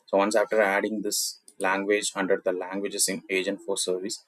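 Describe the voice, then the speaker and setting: A man with a South Indian accent, a native Tamil speaker, presenting a software tutorial in an explanatory walkthrough style. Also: low-pitched, completely flat delivery, normal speed, dry recording.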